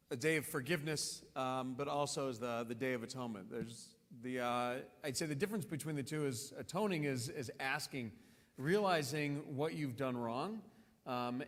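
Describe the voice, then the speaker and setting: Explaining rabbi in an echoing hall. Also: male voice, medium pitch, moderate pace. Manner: explaining with fillers and restarts. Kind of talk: casual panel conversation. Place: large synagogue hall. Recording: long hall reverb.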